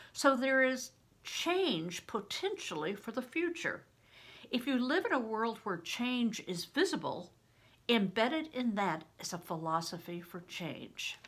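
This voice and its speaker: An older woman reads aloud to her listeners in a bright, clear-edged voice. Her pitch moves up and down in a lively way as she shapes each phrase deliberately, slipping easily into informal remarks. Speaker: read-aloud host. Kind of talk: reading aloud an interview with informal asides.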